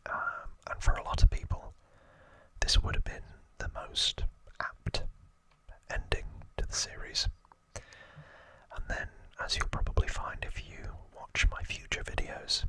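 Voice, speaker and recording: A man speaking in a breathy, gentle whisper, hosting an ASMR trivia explainer close to the microphone. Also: no room sound, poor audio. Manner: slow, gentle, hushed delivery. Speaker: ASMR video creator and host.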